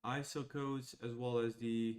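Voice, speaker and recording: A man's voice: mid-range voice, flat delivery, darkish tone. Man thinking aloud through code. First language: Serbian. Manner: thinking aloud, halting, speaks to viewers as guys. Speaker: AI educator. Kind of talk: live coding walkthrough.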